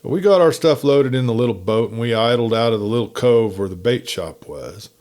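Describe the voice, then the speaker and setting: A man's voice: medium pitch, expressive, fairly quick, a little mellow. A man reading a folksy first-person story in a campfire-tale narration style.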